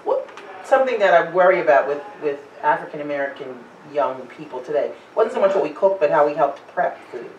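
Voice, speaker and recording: A man's voice: on the high side, expressive, fairly quick, a little hiss or hum. A man in the audience sharing an earnest, reflective thought, with many "you know"s. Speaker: audience member. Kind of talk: speaking up from the audience. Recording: dull, roomy sound from far off.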